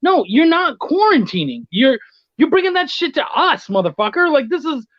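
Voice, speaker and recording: A middle-aged man telling anecdotes in a casual interview, blunt and quick to swear. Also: extremely high pitch, big pitch swings, no room sound, highs cut off. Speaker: interview guest, freelance writer.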